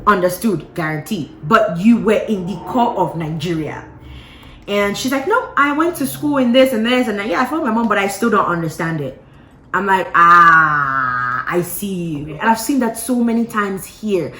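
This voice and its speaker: A woman's voice: medium pitch, moderate pace, slight background noise. Chatty woman vlogger in a roomy space. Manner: animated, chatty storytelling with playful asides. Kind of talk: casual gossip chat to camera. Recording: roomy echo.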